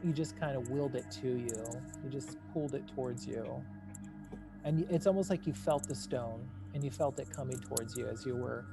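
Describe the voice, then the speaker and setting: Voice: mid-range voice, a little fast, vocal fry. Game master narrating over music. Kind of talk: improvised narration of a tabletop game. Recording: music playing behind the voice.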